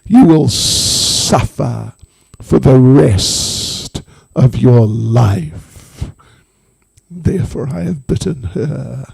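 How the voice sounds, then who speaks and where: Casting: male storyteller as a solemn, threatening snake spirit, extremely slow and gravelly, words drawn out for weight. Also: medium pitch, animated, darkish tone, dry recording, heavily acted. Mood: grimly vengeful. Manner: slow, drawn-out, portentous delivery. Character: menacing divine snake of Lord Shiva, solemn and threatening.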